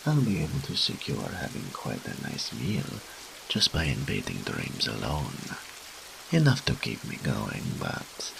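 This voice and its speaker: Arrogant incubus demon, extremely deep gravelly purr. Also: male voice, noisy background, exaggerated voice. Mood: hungry, smug. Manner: slow, theatrical, teasing, pompous. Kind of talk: scripted audio roleplay monologue, addressed to the listener.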